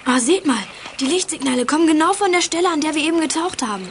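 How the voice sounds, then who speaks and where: A female actor playing a lively, wary girl detective in a children's radio play. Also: sits high, fast, slight background noise. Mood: alarmed.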